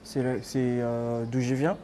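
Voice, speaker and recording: A man's voice: mid-range voice, little pitch movement, measured pace, warm tone. A young man from Congo with a Congolese French accent, a guest musician speaking in a tired, hesitant way full of fillers. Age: young adult.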